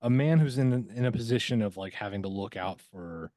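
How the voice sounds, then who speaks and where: Low, mellow-voiced man talking reflectively. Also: no echo, studio quality. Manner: halting, reflective, repeats words. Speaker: voice actor guest. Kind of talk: casual podcast interview.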